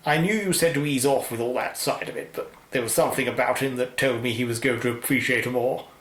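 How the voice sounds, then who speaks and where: A man's voice: mid-range voice, fast talker, fairly bright tone, lightly acted. A man playing a smug, callous, wealthy magnate in a radio drama, with a British accent and pompous delivery. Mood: self-satisfied. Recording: echoey room with faint ambient noise.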